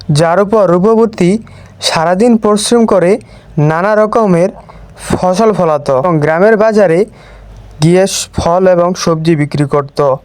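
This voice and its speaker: A man's voice: high voice, a little mellow, no room sound. A man narrating a scripted village story for a cartoon as its storyteller.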